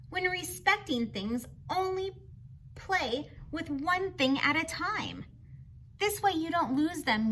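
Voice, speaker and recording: A woman reads a children's book aloud in a very bright, high voice. She takes her time, speaking in an animated, teacherly manner and addressing young listeners directly. There is faint noise in the background. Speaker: picture-book reader. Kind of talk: children's read-aloud with explanatory asides.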